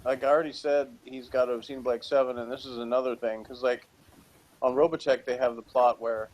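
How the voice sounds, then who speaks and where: A man's voice: mid-range voice, level tone, a little fast, darkish tone, rough recording. A man co-hosting a review show in casual chat, with a flippant, joking way of talking.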